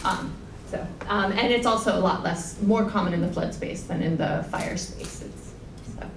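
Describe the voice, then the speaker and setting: A woman's voice: fairly low pitch, normal speed, vocal fry. A woman panelist answering frankly in a conversational way, with fillers. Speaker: panelist and moderator. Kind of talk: panel discussion. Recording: roomy hall, far from mic, faint noise.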